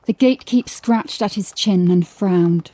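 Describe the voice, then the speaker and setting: A woman narrating scripted horror fiction as a dramatic storyteller, with a mellow voice. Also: medium pitch, unhurried, no room sound.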